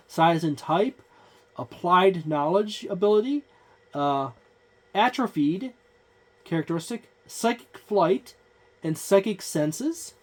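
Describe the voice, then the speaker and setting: A tabletop gaming channel host talking through a book page by page in a loose, rambling way with many fillers. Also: medium pitch. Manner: points things out on the page. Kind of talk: casual book walkthrough.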